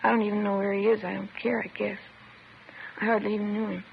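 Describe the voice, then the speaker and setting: An actress playing a shaken, bitter teenage girl in a 1950s radio drama, halting and subdued. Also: medium pitch, level tone, vocal fry, lightly acted. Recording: muffled, slightly noisy old radio recording.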